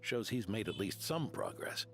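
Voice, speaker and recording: Low-voiced, weary aging knight. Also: male voice, huge pitch swings, creaky, a bit bright, heavily acted. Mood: wry, hopeful. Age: older. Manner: measured, sighing, reflective. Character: aging male knight, world-weary, humble, shrewd. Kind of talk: fantasy game voice acting. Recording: game audio with faint music.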